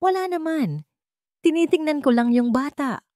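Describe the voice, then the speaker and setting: A woman voicing an adoring wealthy tycoon husband in a story, soft and earnest. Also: high voice, animated, moderate pace, dry recording, studio quality, pitched above the performer's own voice. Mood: mildly concerned.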